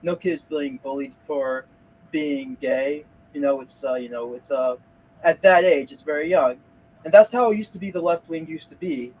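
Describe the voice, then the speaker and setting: A man hosting a reaction video, speaking in halting bursts with many restarts. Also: a little high, darkish tone, a little hiss or hum, low-quality recording. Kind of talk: casual reaction commentary.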